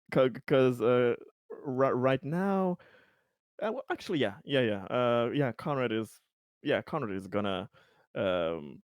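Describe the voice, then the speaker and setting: Halting, animated man in a dry room. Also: medium pitch, no room sound. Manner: halting, repeats words while searching for phrasing. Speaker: player in a tabletop roleplaying game. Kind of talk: casual game chat.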